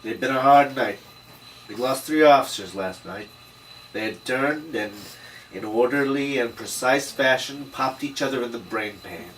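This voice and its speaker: A man's voice: mid-range voice, normal speed, a bit bright, close to an everyday voice. A man playing a world-weary, middle-aged 1930s detective, narrating wryly and slangily at a table read. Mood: brooding, wry. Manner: wry, slangy. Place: room at a script table read. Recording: distant mic, faint background noise.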